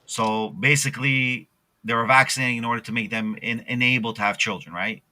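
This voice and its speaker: A man from Israel in a casual podcast chat, hesitant, often restarting, quick to agree. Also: mid-range voice, fairly even intonation, bright, forceful tone, poor audio.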